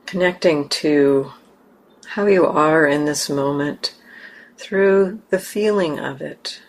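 A woman with a low voice guides a meditation at a very slow pace. She speaks gently as a teacher, leaving long pauses between short phrases. There is faint noise behind her. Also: poor audio.

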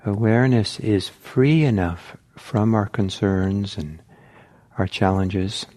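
A man teaching meditation in a gentle, reflective, halting way, leaving long pauses between short phrases. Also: lowish voice. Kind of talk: guided meditation talk.

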